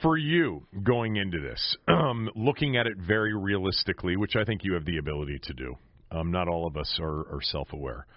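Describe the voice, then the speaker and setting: An American man hosting a sports interview, asking questions in a casual, halting, informal way. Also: deep voice, animated, no room sound, dull audio. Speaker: sports podcast host, interviewer.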